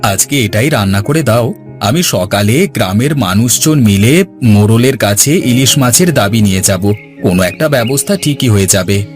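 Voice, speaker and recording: A man's voice: lowish voice, expressive, moderate pace, lightly acted. A man playing an earnest, dutiful village farmer son in cartoon dialogue, with music behind.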